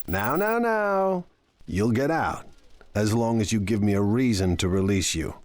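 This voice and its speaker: Smug, scheming crime lord. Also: male voice, on the low side, sing-song, unhurried, dry recording. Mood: sly confidence. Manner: sardonic, self-assured. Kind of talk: scripted video game acting.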